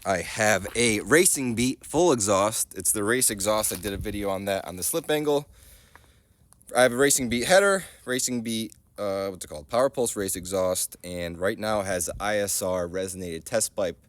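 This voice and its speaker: A man's voice: lowish voice, expressive, moderate pace, dry recording. A man in his thirties with a stuffy head cold, talking loosely and self-deprecatingly while showing off his car outdoors on a phone camera. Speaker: car vlogger. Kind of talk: casual car walkaround vlog. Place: outdoors in a gravel driveway and inside a small car. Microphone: phone camera selfie view.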